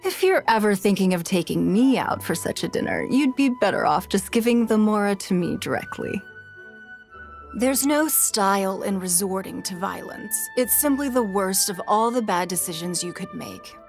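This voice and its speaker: A woman's voice: medium pitch, expressive, normal speed, gravelly, faint music behind. An actress playing a poised, shrewd and wealthy woman leader in a video game, with a composed, refined and authoritative manner. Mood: cool, measured. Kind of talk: video game character voice lines.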